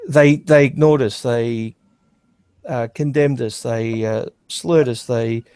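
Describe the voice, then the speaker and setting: A man hosting a casual online stream and talking bluntly. He often repeats words as he begins a sentence. His voice sits at an ordinary mid pitch, and it sounds dry and close. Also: slow pace, warm tone, clean tone, no echo. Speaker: stream host.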